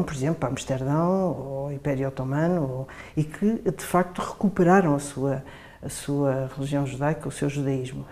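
A middle-aged woman with a European Portuguese accent explains as an interviewed expert. Her voice is notably deep and mellow, and she often halts to rephrase. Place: bright room by a window, indoors.

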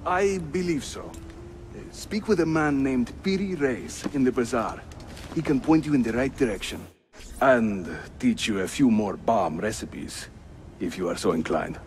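An actor playing a jovial, roguish Turkish assassin, a teasing mentor with a Turkish accent and playful delivery in a video game. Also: male voice, medium pitch, unhurried, a little hiss or hum, lightly acted. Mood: curious, friendly. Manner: playful, animated. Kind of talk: cinematic video game acting.

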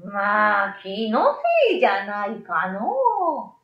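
A woman voicing a curious, mild old male yokai who is easily amazed, with drawn-out, old-fashioned elderly speech in a storybook reading. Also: mid-range voice, sing-song, gravelly, echoey room, highs cut off, full character voice. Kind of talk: storybook radio drama reading.

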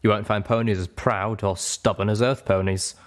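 A man's voice: fairly low pitch, steady tone, moderate pace, natural voice. A man voicing a friendly male dragon who explains and reminisces as a fond storyteller in an audiobook. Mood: earnest.

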